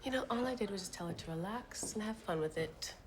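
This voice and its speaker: A woman's voice: medium pitch, lively intonation, fast talker, background noise, lightly acted. An actress playing a friendly, upbeat young working woman in a 1920s period drama. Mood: cheerful.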